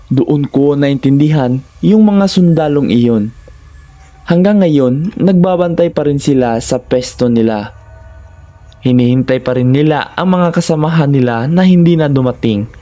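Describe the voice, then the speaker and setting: A man with a Filipino accent reading a scripted first-person horror story in measured, short suspenseful phrases. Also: a little high, lively intonation, warm tone, soft background music.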